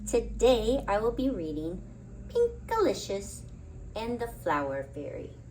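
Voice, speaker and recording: Animated woman reading a children's story. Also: on the high side, a little slow, slight background noise, low-quality recording. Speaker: children's story reader and host. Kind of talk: expressive storybook narration.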